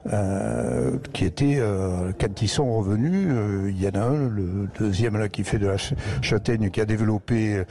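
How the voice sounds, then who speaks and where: A man's voice: lowish voice, normal speed, vocal fry, hardly any pauses, mellow. A man with a Lozère accent, an agricultural educator telling rambling anecdotes as a guest in a live radio interview broadcast from a museum. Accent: Lozère, southern France.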